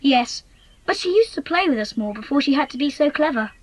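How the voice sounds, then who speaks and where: A woman voices a young British schoolboy in a storybook dramatisation, high in pitch and lively in delivery. He comes across as adventurous, frank and politely matter-of-fact. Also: a little fast, no echo, rough recording. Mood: polite, candid.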